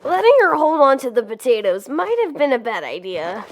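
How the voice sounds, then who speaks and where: A woman's voice: a little high, very animated, a little fast. A young woman voicing a nervous, upbeat lead in a comic dub, with lots of fillers.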